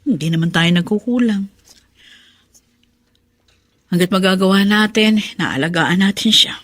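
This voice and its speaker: A woman's voice: mid-range voice, a little slow, fairly bright tone, no echo. Weary middle-aged mother, dry close sound. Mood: weary, resigned. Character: weary dutiful mother caring for her ageing father. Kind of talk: radio drama acting.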